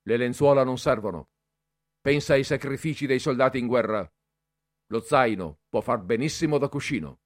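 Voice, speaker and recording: A man's voice: fairly low pitch, normal speed, darkish tone, no room sound, clean studio sound, lightly acted. A man voicing a stern, strict middle-aged male professor who scolds the boys severely. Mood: stern scolding.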